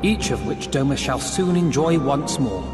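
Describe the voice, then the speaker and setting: A man's voice: mid-range voice, expressive, normal speed, close to an everyday voice. An actor playing an earnest, idealistic young nobleman in a fantasy game, courteous and eloquent. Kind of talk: formal fantasy game dialogue. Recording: echoey, music behind.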